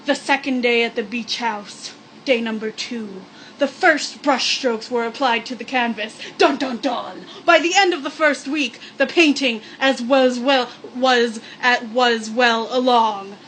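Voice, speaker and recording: A woman's voice: a little high, a little slow, a bit bright, a little hiss or hum, poor audio. A woman reading a story aloud in a sarcastic, mock-dramatic comic manner, with joking asides. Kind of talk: comedic read-aloud with mock-spooky asides.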